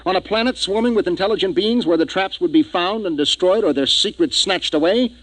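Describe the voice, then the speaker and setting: An American actor playing a decisive, brooding spaceship captain in a 1950s radio science fiction drama. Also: male voice, high-pitched, brisk, a bit bright, no echo, low-quality recording. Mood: intent, reasoning. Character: decisive, brooding male spaceship captain narrating his expedition.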